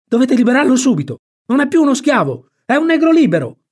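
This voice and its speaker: Storybook boy, played by a man: brash, defiant adventurer, pitched high, impulsive and swinging widely. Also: expressive, fairly quick, mellow, slightly rough. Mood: indignant, shouting. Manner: exclaiming, impulsive.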